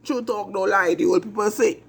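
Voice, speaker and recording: A Trinidadian man with a raised pitch and a gravelly, husky edge to his voice. He hosts his own show and delivers a blunt commentary monologue, hammering each point by repeating it and swearing freely. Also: moderate pace. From Trinidad and Tobago. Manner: emphatic, repetitive, blunt and profane. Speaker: host of an online talk show.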